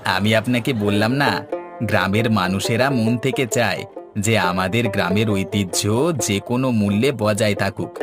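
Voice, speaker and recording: A man's voice: fairly low pitch, unhurried, a crowd in the background, natural voice. Man acting an earnest, declamatory village man. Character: earnest, brave village man rallying his neighbours. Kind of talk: Bengali cartoon voice acting. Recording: music playing behind the voice.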